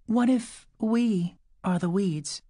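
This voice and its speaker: A male narrator plays a demanding young woman on a hard journey. The voice is pitched well up from his own and dark in tone, with impatient, questioning delivery, recorded dry and close. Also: slow, dry recording. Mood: sharp questioning. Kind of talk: audiobook character voice.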